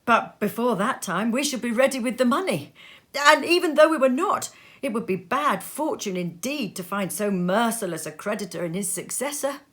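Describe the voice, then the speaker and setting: A woman voicing a careworn, earnest young husband in a storybook reading, hesitant yet quietly relieved. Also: on the high side, expressive, normal speed, voice pushed up.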